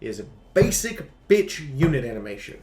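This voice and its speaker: A man's voice: medium pitch, sing-song, normal speed, dark tone, echoey room. A man giving opinionated game review commentary, speaking bluntly and emphatically and repeating phrases for stress.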